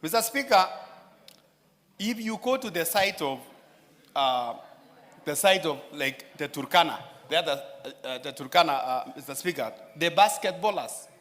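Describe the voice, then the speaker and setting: A man addressing parliament in a floor speech, often turning to the Speaker and pausing on fillers. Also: a little high, expressive, fairly bright tone. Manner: addresses the Speaker repeatedly, with many filler pauses. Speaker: member of parliament. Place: large parliamentary chamber. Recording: chamber echo with long reverb.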